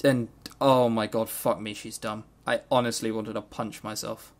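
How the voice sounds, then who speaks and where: A man's voice: flat delivery, moderate pace. A man co-hosting a podcast, giving an unscripted, exasperated, sweary review with emphatic delivery. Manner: mimics characters in high exaggerated voices. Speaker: podcast co-host reviewing visual novels.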